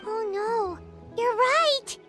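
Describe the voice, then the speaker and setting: A woman voicing a tiny, excitable, childlike fairy companion in a video game, squeaky and exclamatory. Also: very slow, over music, exaggerated voice. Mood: alarmed. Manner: exclamatory, speaks of self in third person.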